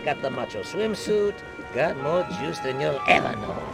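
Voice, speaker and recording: A man's voice: on the high side, sing-song, normal speed, creaky, over loud music. A man voicing a flamboyant, slangy lounge-singer villain turned goofy ally in a cartoon video game, showy and exclamatory. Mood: boastful.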